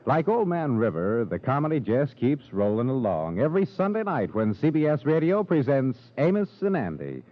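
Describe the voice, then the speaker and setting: A man with a mid-range voice announces in polished, showy 1950s radio style. His pitch moves up and down in a lively sweep, and the sound is muffled like an old broadcast.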